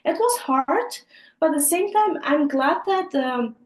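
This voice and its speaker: A young woman from Afghanistan, raised in Pakistan, gives earnest, thoughtful interview answers. She stops for fillers and restarts her sentences often. Her voice is fairly high and sounds echoey, as if recorded in a bare room. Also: sing-song, a little slow. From Afghanistan, grew up in Pakistan. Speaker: podcast guest, Olympic judoka.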